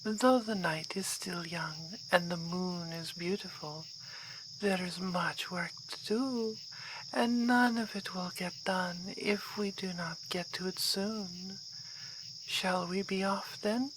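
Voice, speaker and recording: A woman's voice: on the low side, slow pace, noisy background. A woman playing a poised, gracious vampire baroness in an ASMR roleplay, speaking in formal, courteous phrases. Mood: gracious, composed.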